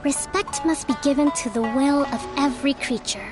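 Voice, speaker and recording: A woman voicing a calm, gentle young female military strategist in a video game, speaking in a measured, articulate way. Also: high-pitched, over music, close to an everyday voice. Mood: encouraging, resolute.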